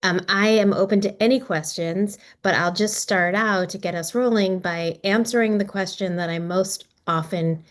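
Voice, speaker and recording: A middle-aged woman from Maryland, a documentary filmmaker, talking about her film in a reflective, halting way with many pauses and restarts. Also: mid-range voice.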